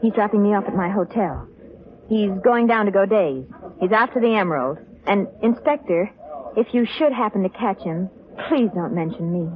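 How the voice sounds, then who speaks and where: A woman's voice: medium pitch, lively intonation, moderate pace, narrow, tinny audio, background noise, close to an everyday voice. An actress playing a secretive, controlled woman informant in 1940s radio drama. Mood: guarded.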